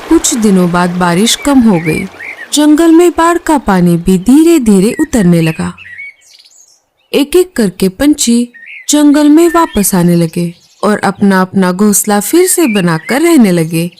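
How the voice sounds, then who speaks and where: A woman's voice: medium pitch, sing-song, takes it slowly, darkish tone. A woman narrating a scripted children's story as a storyteller. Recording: clear rain noise behind voice.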